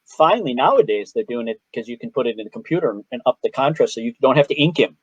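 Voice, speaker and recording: A man's voice: medium pitch, lively intonation, fast talker, slightly rough, no room sound. A man with a Chicago accent wanders through anecdotes, restarting his sentences as he goes. The sound is dry and a little muffled, as over a video call. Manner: rambling, self-interrupting storyteller. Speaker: guest artist. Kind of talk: casual video-call interview.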